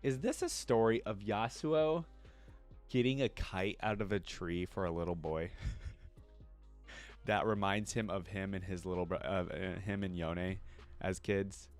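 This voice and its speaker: A man's voice: sits low, a little slow, soft background music. A man reading a first-person story aloud expressively, as a content creator who also reacts casually.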